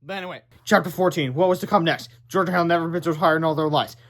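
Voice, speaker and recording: A man reads a children's book aloud. His voice sits a bit higher than most men's as he narrates the story. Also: steady tone, fast talker, a bit bright. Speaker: storybook reader.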